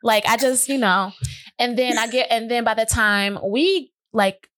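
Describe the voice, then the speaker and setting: A woman's voice: on the high side, unhurried, fairly bright tone, no room sound. A woman hosting a podcast, talking casually in a rambling way full of restarts. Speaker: podcast host.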